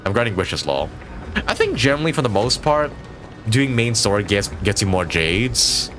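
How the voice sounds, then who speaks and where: Man, game streamer, lively swinging intonation, low pitch, casual, rambling. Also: normal speed, soft background music. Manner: rambling, thinking aloud. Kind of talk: casual live gameplay commentary.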